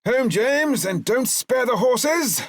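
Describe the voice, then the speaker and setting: Theatrical old detective, close dry sound. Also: male voice, very high-pitched, lively intonation, takes it slowly, no echo, exaggerated voice. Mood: grandly exuberant. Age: older. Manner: old-fashioned, formal phrasing, theatrical. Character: older male police detective, wry, theatrical, outwardly cordial. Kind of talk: full-cast audiobook drama acting.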